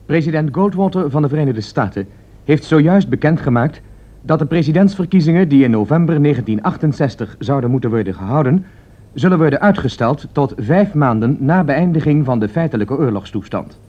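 A man playing a grave, official newsreader, delivering a formal and deliberate bulletin in a radio drama. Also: on the high side, lively intonation. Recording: muffled old radio recording.

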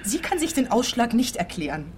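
A woman's voice: on the high side, sing-song, brisk, a little hiss or hum. A woman playing a suspicious client of about thirty in a radio play, guarded and matter-of-fact. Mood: guarded, accusing. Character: veiled, suspicious female client, around thirty.

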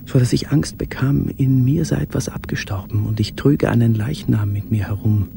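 An actor playing a tormented, lonely man who confesses haltingly in an intimate radio play monologue, often sinking toward a whisper. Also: mid-range voice, gravelly, noisy background, close to an everyday voice. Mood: anguished, brooding.

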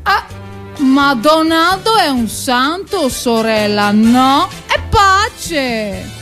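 Stage drama: actress as bossy needling woman, piercingly high, unhurried, sing-song and repetitive, music underneath. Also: expressive, fairly bright tone, full character voice. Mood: insistent, teasing. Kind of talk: stage monologue-style theatre acting.

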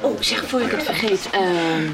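Radio soap: actress as caring, talkative doctor, animated delivery amid loud party hubbub. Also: female voice, mid-range voice, normal speed, natural voice. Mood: chatty, confiding. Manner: chatty, solicitous. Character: friendly, chatty female doctor and family friend. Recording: loud crowd chatter behind.

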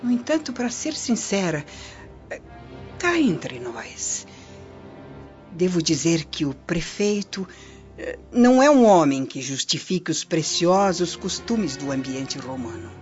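A woman's voice: on the low side, sing-song, slow. A woman acting a scheming, vengeful Roman noblewoman in a radio drama, with formal, theatrical, insinuating delivery. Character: scheming vengeful Roman noblewoman, falsely confiding prefect's wife. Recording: muffled lo-fi recording under loud music.

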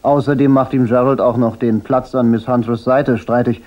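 A man's voice: mid-range voice, steady tone, normal speed, lightly acted. A man acting a cool, laconic, insolent private detective in a German radio crime drama. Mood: dry, pointed. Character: cool, wry, unflappable hard-boiled private detective. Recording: dull radio drama recording.

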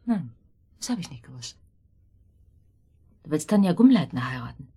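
Casting: cool middle-aged wife, breathy lowish voice, suspicious and probing, radio play. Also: female voice, sing-song, moderate pace, mellow, full character voice. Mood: wounded, defiant. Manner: pointed, mocking questions. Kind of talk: German radio play acting.

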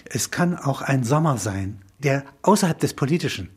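A man in reflective intellectual conversation, musing in halting phrases punctuated with small affirming tags. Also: mid-range voice, lively intonation, slightly rough. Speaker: interviewee, filmmaker.

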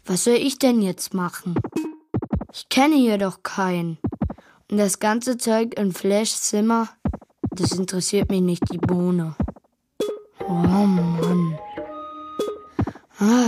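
A woman's voice: medium pitch, takes it slowly, pauses often, over music, close to an everyday voice. A woman voicing a lonely, bored child in a children's radio play. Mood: dejected, regretful.

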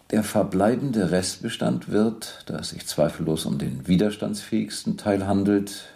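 Low, gravelly male police interrogator. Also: dark tone. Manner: formal, precise, insistent questioning. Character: probing, methodical male police captain interrogating a prisoner. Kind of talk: German radio play, interrogation dialogue.

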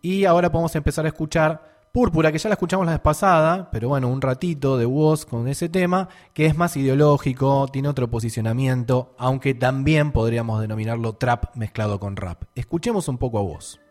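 A man's voice: mid-range voice, fairly quick, hardly any pauses, darkish tone. A man with an Argentine accent, hosting a radio show and commenting on music in a conversational way. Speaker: radio host.

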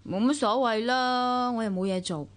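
A woman's voice: on the high side, moderate pace, lightly acted. A woman with a Hong Kong Cantonese accent acting a worldly, guarded divorced younger sister in a radio drama, nonchalant and wry, with wistful first-person narration. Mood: wry, resigned. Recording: long reverb, music underneath. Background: music.

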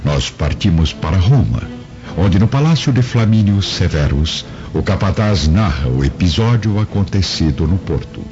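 A man's voice: low-pitched, animated, moderate pace. A man giving dramatic scripted narration for a radio drama. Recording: dull lo-fi audio with music.

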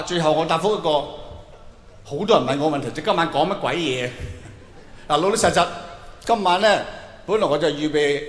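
A man's voice: high-pitched, a bit bright. A middle-aged Hong Kong Cantonese man doing live stand-up, chatty and colloquial, putting questions straight to a big audience. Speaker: stand-up comedian. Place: large indoor arena stage. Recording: echoey arena PA, long reverb, noisy.

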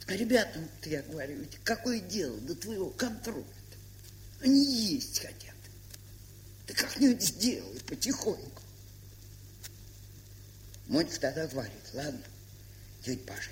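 Folksy old aunt, very lively voice. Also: female voice, extremely low pitch, full character voice. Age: older. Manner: folksy, unhurried storytelling with fond scolding. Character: kindly, chatty, plain-spoken old village aunt. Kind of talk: audio play monologue and dialogue. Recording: hissy, humming 1970s record.

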